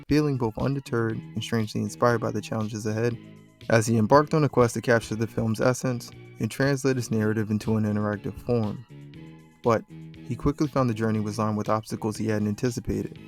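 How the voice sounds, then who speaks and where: A man delivers scripted documentary narration in a voice of ordinary middle pitch. He is recorded as a close voice-over, with music faintly behind him.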